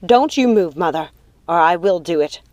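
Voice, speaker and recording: A woman's voice: on the high side, animated, normal speed, dark tone, close to an everyday voice. A woman voicing a frightened but defiant young witch daughter in an audiobook, urgent, pleading and emotional. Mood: defiant, desperate. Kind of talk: audiobook character acting.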